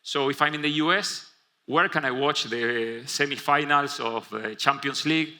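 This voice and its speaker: Argentinian guy presenting on stage, really bright tone, lots of uhs and restarts. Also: mid-range voice, normal speed. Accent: Argentinian Spanish. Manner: many fillers and self-restarts. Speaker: conference presenter. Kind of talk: keynote presentation. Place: conference stage.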